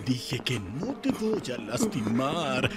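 A man's voice: medium pitch, sing-song, slow. A man playing a manic, sadistic villain clown in a cartoon parody, theatrical, taunting, with a singsong menacing drawl. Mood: menacing glee. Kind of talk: animated parody villain acting.

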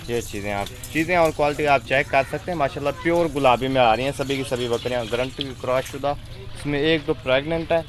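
Man pitching goats, pushy and repetitive. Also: quick pace. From Pakistan. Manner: repetitive, salesy, frequent tag questions. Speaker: goat farm owner and seller. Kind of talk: casual sales vlog, off-camera narration. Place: outdoor goat pen on a farm.

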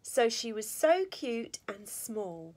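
A middle-aged woman reading a rhyming children's picture book aloud with an expressive, sing-song delivery. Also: fairly high pitch, slow. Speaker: school staff storyteller. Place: small school office or staff room, indoors. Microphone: phone or tablet at arm's length.

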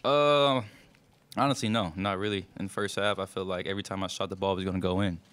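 A man's voice: sits low, fairly even intonation, fairly bright tone, clear, resonant tone. A young man, a college basketball player answering questions at a postgame press conference into a table mic, loose and full of fillers. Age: young adult. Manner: loose, filler-heavy, speaks in quick bursts. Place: press room in front of a sponsor backdrop.

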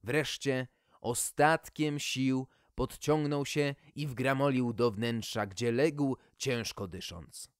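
A man's voice: medium pitch, takes it slowly, smooth, no echo, clean studio sound. A man reading a scripted fairy tale as a storyteller, with a wry delivery.

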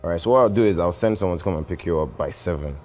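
A man's voice: sits low, very fast, faint music behind, pitched below the performer's own voice, natural voice. A man playing a laid-back, bossy young boyfriend on a phone call. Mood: casual. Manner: bossy, reassuring.

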